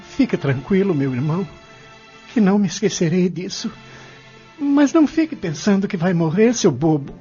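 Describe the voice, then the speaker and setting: A man's voice: sits high, lively intonation, normal speed, mellow. An actor playing an affectionate young man, teasing and tender with his sick brother, in a radio drama. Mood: reassuring, teasing. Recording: dull lo-fi radio drama, music behind.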